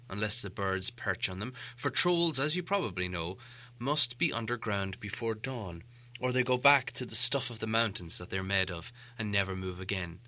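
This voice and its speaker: A man narrating a storybook as an audiobook reader, in an expressive, dramatic and theatrical scripted style. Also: on the low side.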